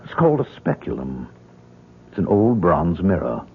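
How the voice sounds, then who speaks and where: Deep, husky, suave sinister professor. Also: male voice, very animated, normal speed, exaggerated voice. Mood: genial, measured. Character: suave, secretly sinister male occult professor. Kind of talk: 1970s radio drama acting. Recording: muffled vintage 1970s radio recording.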